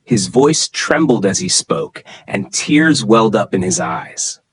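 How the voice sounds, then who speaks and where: A man's voice: on the low side, expressive, unhurried, breathy edge, no echo. A man narrating a scripted religious story for children in a dramatic storytelling manner.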